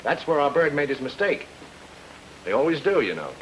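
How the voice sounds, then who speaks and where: A man's voice: a little high, sing-song, normal speed, a bit bright. A man playing a hard-boiled, sardonic private detective in an old-time radio noir, with a wry, clipped way of explaining things. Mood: confident explaining. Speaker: actor in a radio detective drama. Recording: noisy old radio recording.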